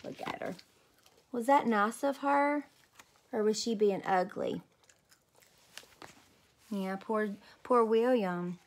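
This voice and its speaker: A woman reading a storybook aloud as a children's host, expressive and talking to young listeners. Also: mid-range voice, slow, long pauses. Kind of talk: animated storybook read-aloud.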